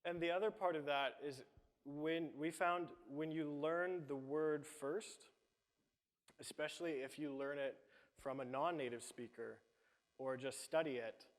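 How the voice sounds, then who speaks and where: A man's voice: on the high side, unhurried. Explaining man in a reverberant hall. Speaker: startup cofounder, business lead. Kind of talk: audience Q&A pitch answer. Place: event hall.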